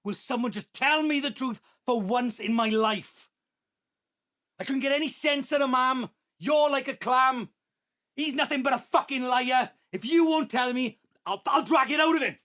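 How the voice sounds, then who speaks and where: A man's voice: extremely high pitch, normal speed, sharp tone. A man playing an angry, insistent adult son confronting his family in an emotional audio drama. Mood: furious, demanding. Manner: confrontational, insistent, repeats demands.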